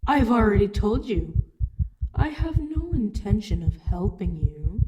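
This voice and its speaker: A woman's voice: medium pitch, sing-song, a little slow, background noise. A woman playing a taunting, menacing female spirit with a mocking, theatrical manner in an audio roleplay. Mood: playful taunting. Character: taunting, playful, menacing female spirit toying with her victim. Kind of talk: narrative audio roleplay acting.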